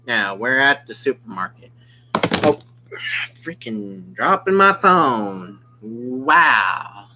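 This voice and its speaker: A young man casually commenting on a visual novel and reading its text aloud, slangy and excitable. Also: medium pitch, slow pace, slight background noise. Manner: slangy, excitable, exclaims often. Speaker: let's play gamer.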